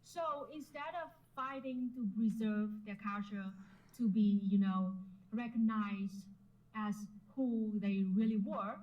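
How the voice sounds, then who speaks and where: A woman's voice: mid-range voice, slow pace, muffled recording. A woman with a Vietnamese accent, speaking earnestly to camera about history, with frequent "you know" fillers.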